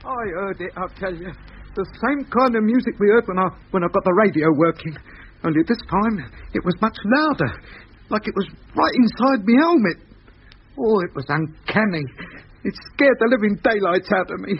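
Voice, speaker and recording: A man playing an anxious, excitable rocket crewman with a London Cockney accent in a 1950s radio serial. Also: high-pitched, normal speed, slightly rough, full character voice. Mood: shaken, insistent. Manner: agitated, plaintive, colloquial. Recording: noisy, muffled old radio recording.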